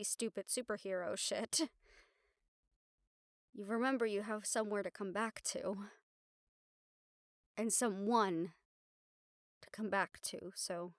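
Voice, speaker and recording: A young woman playing an affectionate, teasing girlfriend in an intimate audio roleplay, speaking in a halting, tender and wry way. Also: medium pitch, fairly bright tone, no echo, clean studio sound. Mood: tender concern. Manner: halting, tender, wryly teasing, casually sweary.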